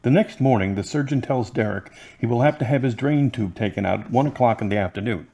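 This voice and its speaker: A narrator reads the stage directions in a flat, plain voice at a mid pitch. The delivery stays level and matter-of-fact. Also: fast talker, vocal fry, soft background music.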